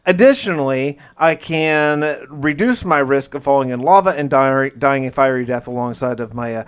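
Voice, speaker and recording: Higher-pitched man narrating a gaming video. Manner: conversational, journal-like storytelling. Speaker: gaming video creator narrating a survival challenge. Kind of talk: first-person game playthrough narration with light roleplay.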